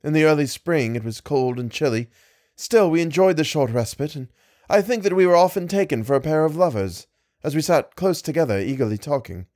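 A man voicing a distressed young Victorian woman who pleads in a low, murmuring voice with formal, ornate speech. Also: animated, a little fast, darkish tone, no room sound, studio quality, lightly acted. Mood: earnest.

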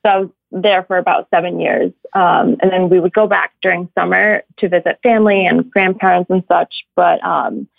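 A woman in her late twenties from Syria, speaking softly and hesitantly as a guest, recorded over a phone line. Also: fairly low pitch, fairly even intonation, moderate pace, gravelly, hardly any pauses, dry recording. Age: late 20s. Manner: soft-spoken, hesitant, many ums. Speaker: guest caller. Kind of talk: casual interview chat.

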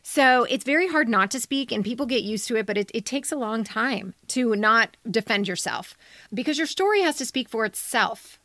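A woman's voice: fairly high pitch, expressive, normal speed, fairly bright tone, no echo. A woman co-hosting with conversational, emphatic commentary as an editor. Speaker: podcast co-host and writing editor.

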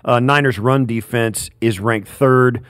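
An older man co-hosts a sports podcast in an easygoing, self-deprecating way, talking at an ordinary pitch. His voice sits dry and close to the mic, with faint music underneath. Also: fairly even intonation, measured pace, fairly bright tone, no room sound. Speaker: former player and co-host. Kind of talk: casual sports chat.

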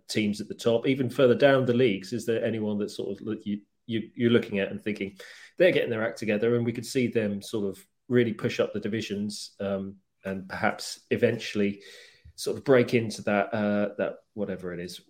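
Man, podcast host, lowish voice, measured, steady delivery. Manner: measured, steers the talk and sums up results. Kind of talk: casual football podcast chat.

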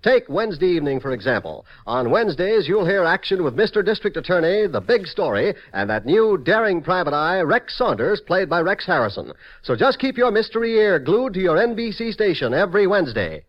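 An American man with a fairly high, very lively voice delivers a punchy radio promo. It is 1950s network announcing with a muffled old sound. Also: brisk, few pauses.

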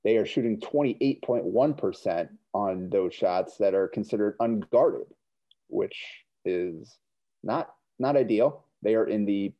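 A man's voice: fairly low pitch, dull audio. A man who writes about basketball, guesting on a podcast and explaining stats analytically, often stammering at the start of sentences. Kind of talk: casual sports podcast chat.

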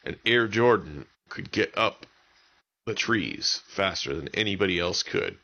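A man's voice: low-pitched, animated, measured pace, vocal fry, pushed and bright, dull audio. A man telling an improvised bedtime story for children, using simple, repetitive wording. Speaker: children's story podcast narrator.

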